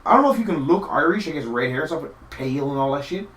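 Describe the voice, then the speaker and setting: A man's voice: a little high, animated, quick pace, a bit bright. A young man from Newfoundland co-hosting a casual chat show, rambling, swearing casually and laughing often. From Newfoundland, Canada. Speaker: podcast co-host. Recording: echoey room.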